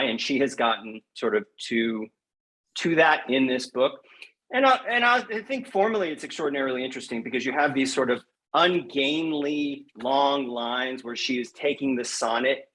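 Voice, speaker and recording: A man's voice: medium pitch, fairly bright tone, low-quality recording. A man speaking as a guest poet in a podcast interview, thoughtful and emphatic, often restating a point for weight.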